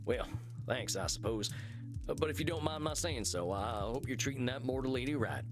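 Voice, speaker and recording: An actor voicing a humble, plainspoken cowboy vampire, folksy and gruffly courteous, in a video game. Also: male voice, medium pitch, brisk, over music, close to an everyday voice. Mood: polite, cautious. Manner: folksy, self-effacing.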